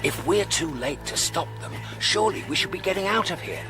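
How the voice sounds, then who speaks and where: An actor playing a sarcastic, jittery space traveller speaking urgently in a radio comedy. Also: male voice, sits high, lively intonation, a little fast, over music. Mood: sarcastic alarm. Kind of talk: radio comedy drama acting.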